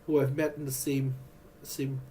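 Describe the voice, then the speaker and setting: A man vlogging in a rambling, halting way, with many restarts and fillers. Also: medium pitch, little pitch movement, warm tone, a little hiss or hum. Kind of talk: rambling personal vlog.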